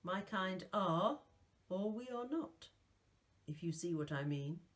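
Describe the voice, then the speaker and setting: Lady reading as a calm undead guardian guy, soft velvety voice, low and slow, grave. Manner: measured, grave, unhurried. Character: calm ageless male guardian, not alive.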